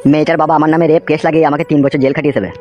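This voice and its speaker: Fast, high-voiced blunt cartoon henchman, played by a man. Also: little pitch movement, highs cut off, faint music behind, natural voice. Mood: bitter storytelling. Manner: blunt, swearing, rural colloquial talk. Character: gruff foul-mouthed henchman, jilted and world-weary young man. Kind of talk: amateur comedy cartoon dub.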